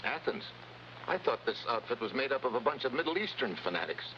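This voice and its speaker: An actor with an American accent playing a brusque, sardonic special agent in a vintage TV adventure. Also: male voice, mid-range voice, very animated, a little fast, lightly acted. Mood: dry, impatient. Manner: clipped, dry, sardonic. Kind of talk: 1950s TV adventure acting with noir narration. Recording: muffled old TV soundtrack, heavy noise.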